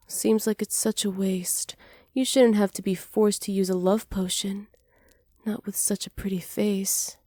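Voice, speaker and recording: A woman playing a flirty, hospitable witch in an ASMR roleplay, soft-spoken and teasing, sly and self-assured, sometimes dropping toward a whisper. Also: medium pitch, no room sound, natural voice. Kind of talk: ASMR roleplay, slow scripted acting.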